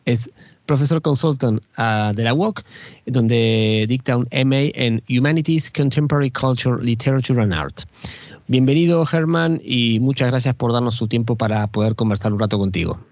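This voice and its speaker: A man hosting an interview podcast, stumbling over and repeating words as he builds each question. His voice sits at a middle pitch. The sound is dry and somewhat muffled. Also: normal speed, darkish tone, no echo. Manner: hesitant, many fillers and repeated words. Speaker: podcast host.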